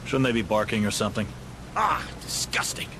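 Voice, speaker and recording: A man's voice: fairly low pitch, a little fast, natural voice. Guy playing a wary marine grunt in a game, clipped, noisy background. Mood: uneasy. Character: wary male Marine squad soldier. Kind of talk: scripted game military dialogue.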